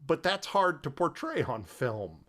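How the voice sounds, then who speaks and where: Animated man in casual, emphatic conversation. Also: on the high side, unhurried. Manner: emphatic, incredulous, colloquial. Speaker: podcast co-host discussing a film. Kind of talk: casual chat.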